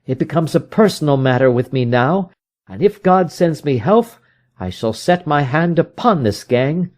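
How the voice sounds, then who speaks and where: A man's voice: a little high, lively intonation, normal speed, darkish tone, voice pushed up, natural voice. A man voicing a proud, brooding detective in an audiobook, with an emphatic, agitated way of declaring things. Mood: wounded, resolute. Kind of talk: dramatic audiobook character reading.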